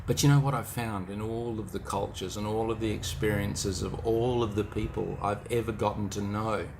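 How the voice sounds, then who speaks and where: A man's voice: fairly low pitch, steady tone, normal speed, vocal fry, background noise. A middle-aged man with a New Zealand accent giving an informal motivational talk, reflective and speaking straight to the listener.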